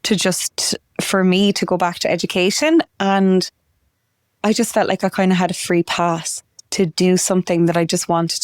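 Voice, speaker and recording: Woman, about 30, podcast guest, clear low-pitched voice, casual and chatty. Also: no room sound, studio quality. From Wexford, Ireland. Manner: chatty, frequent "like" fillers, laughs easily. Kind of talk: casual conversational interview.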